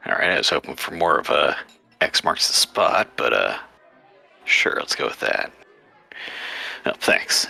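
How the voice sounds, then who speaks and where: A man playing a tabletop game, speaking in character with many pauses and fillers. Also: very deep voice, gravelly, pushed and bright, breathy edge. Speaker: player.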